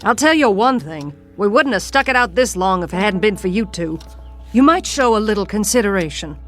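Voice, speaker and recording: A woman's voice: mid-range voice, lively intonation, brisk, soft background music, lightly acted. A woman playing a cold, embittered mother in a classic television drama, speaking in a curt, scolding manner. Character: cold, stern, embittered mother of two children.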